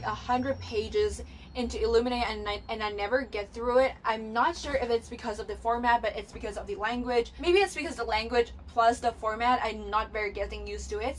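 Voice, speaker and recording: A woman's voice: fairly high pitch, slight background noise, low-quality recording. Chatty Cantonese-speaking young woman. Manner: chatty, many fillers and restarts. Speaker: booktuber. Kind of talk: casual bookish chat to camera. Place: bedroom. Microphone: camera mic.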